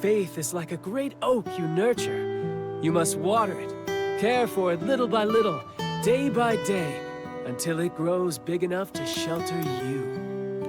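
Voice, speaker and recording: An actor playing a theatrical young male god of war and wind in a video game dub, with grandiose, poetic speech. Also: high-pitched. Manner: grandiose, poetic, formal proclamations. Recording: voice over background music.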